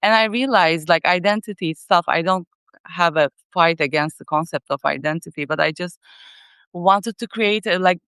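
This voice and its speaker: Soft-spoken woman with a Turkish accent. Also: lowish voice, normal speed, clear, resonant tone, no echo. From Turkey. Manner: soft, thoughtful, searching for words. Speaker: guest writer. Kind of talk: casual live interview.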